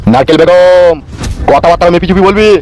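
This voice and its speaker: A man's voice: high-pitched, a little fast, very noisy, natural voice. A man voicing a cheeky, brazen village husband in a comedy cartoon, teasing and crude. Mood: dismissive, brazen.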